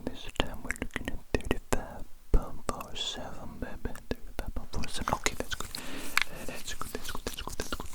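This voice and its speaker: A performer playing a soothing, absent-minded alien doctor in an ASMR roleplay, murmuring in a breathy whisper and repeating little phrases. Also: normal speed, few pauses, lightly acted. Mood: absent-minded, fussy.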